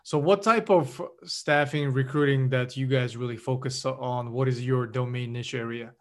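A man's voice: medium pitch, fairly even intonation, normal speed. A man hosting a podcast interview, upbeat and encouraging as he asks questions. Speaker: podcast host.

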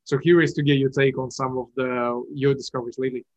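A man's voice: medium pitch, level tone, quick pace. Interviewer, male, from Kazakhstan, loose conversational questions full of fillers, slightly muffled remote audio. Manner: loose, lots of fillers and restarts. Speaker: interviewer, investor. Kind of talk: casual interview.

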